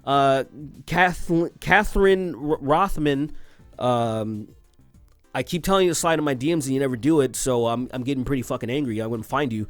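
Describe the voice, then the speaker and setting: A man's voice: medium pitch, moderate pace, no room sound, soft background music. Crude, sarcastic young male ranter. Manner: crude, sarcastic, laughing at his own jokes. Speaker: commentary video host. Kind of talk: ranting commentary on tweets and news.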